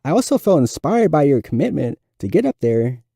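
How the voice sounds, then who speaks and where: A man voicing a polite, supportive schoolboy giving measured approval in a narrated story. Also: fairly high pitch, sing-song, vocal fry, dark tone, husky, no room sound, studio quality, exaggerated voice. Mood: approving.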